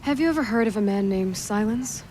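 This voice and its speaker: A woman's voice: medium pitch, lively intonation, a little fast, a little mellow, slight background noise, close to an everyday voice. An actress playing a young woman hunter who asks direct, probing questions. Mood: curious. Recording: game cutscene with music behind.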